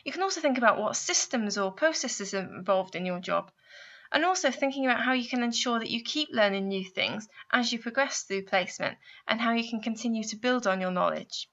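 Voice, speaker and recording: Bright-voiced woman narrating guidance. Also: fairly high pitch, lively intonation, fairly quick, lo-fi sound. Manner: clear, explanatory, addressing the listener with guiding questions. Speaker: university placement adviser narrating a guidance video. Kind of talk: scripted instructional narration.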